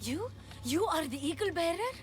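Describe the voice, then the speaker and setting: An actress playing an idealistic, headstrong young rebel daughter in a video game. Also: female voice, very high voice, big pitch swings, normal speed, big-room sound. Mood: urgent. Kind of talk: video game dramatic acting.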